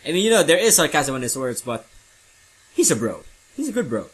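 A man giving casual commentary over a racing game he is playing, excitable and jokey, shouting at rival drivers. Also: fairly high pitch, brisk, slightly rough. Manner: slips into joking mock accents. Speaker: let's play gamer. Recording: loud game music underneath. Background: racing game music and car sounds.

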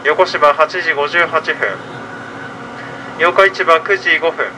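A man's voice: fairly even intonation, slow pace. A man working as a train conductor, making a formal, polite, routine announcement over the train's PA. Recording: train PA with clear running-train noise. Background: moving train rumble.

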